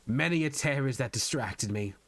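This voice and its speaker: A man voicing an unhinged, proud murderer in a Gothic horror monologue, speaking in a theatrical, deliberate way with emphatic pauses. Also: medium pitch, expressive, fairly bright tone, dry recording. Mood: brooding, gloating. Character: unhinged murderer narrator, proud, insisting he is sane. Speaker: VTuber practicing voice acting.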